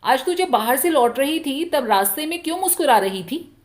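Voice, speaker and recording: A woman's voice: high voice, lively intonation, normal speed, voice pushed up. A woman voicing a jealous, suspicious young village husband in a storybook reading, questioning in an agitated, accusing manner. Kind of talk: dramatic Hindi short story reading.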